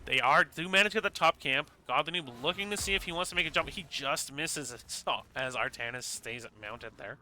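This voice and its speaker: A male caster calls a live esports match in fast play-by-play, his voice sitting a touch high and sounding very bright and keen. He is congested, with a stuffy nose, and his energy climbs into excited bursts when the action heats up. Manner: energetic, rises to excited bursts during fights.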